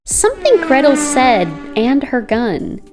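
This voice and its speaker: Woman voicing determined young heroine, slow delivery, earnest, wry, guarded, comic dub narration, faint music. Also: sits high, very animated, exaggerated voice. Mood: tense, focused. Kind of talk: dramatic narration and dialogue. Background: background music.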